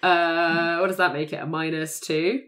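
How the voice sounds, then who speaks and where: A woman with a slightly low voice plays a tabletop game. She talks casually, thinking aloud with the other players. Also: fairly even intonation, moderate pace, over a noisy crowd. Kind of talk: casual chat during a game.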